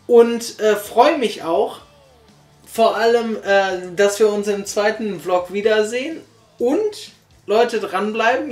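Woman vlog host, talks slow, casual interviewer, roomy echo. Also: medium pitch. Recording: echoey living room.

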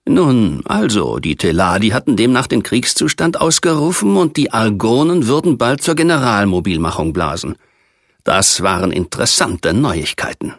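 Measured male audiobook narrator, very dry sound. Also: fairly low pitch, expressive, dry recording. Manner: measured, precise literary reading. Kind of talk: scripted narration of a science fiction novel.

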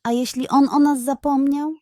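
Woman voicing a curious, earnest little boy, soft and high. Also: level tone, normal speed, no echo, close to an everyday voice. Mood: hushed, worried.

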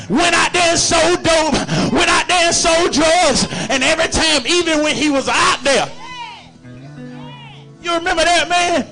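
A middle-aged man preaching at the top of his lungs, with a very high and bright, cutting voice. He chants his lines in repeated refrains and talks in plain, everyday words. Soft music plays under him. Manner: plain-spoken colloquial talk. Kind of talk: shouted revival sermon. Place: church service.

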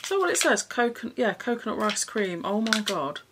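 A woman's voice: fairly high pitch, sing-song, a little fast, very noisy. A young woman in a small bedroom, chatting frankly and casually to camera about her haul, swearing now and then. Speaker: vlogger.

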